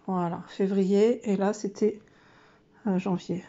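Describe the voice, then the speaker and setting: A woman's voice: mid-range voice, steady tone, slow pace, dark tone, lo-fi sound. A woman vlogger with a stuffy, nasal voice from a cold, chatting casually and self-mockingly through her monthly challenges with lots of fillers.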